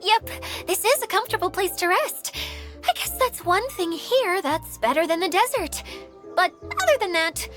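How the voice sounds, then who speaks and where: A woman's voice: extremely high pitch, animated, normal speed, fairly bright tone, faint music behind. A woman voicing a small, dramatic, touchy childlike mascot in an anime video game, with a pouty, theatrical delivery. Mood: grumbling.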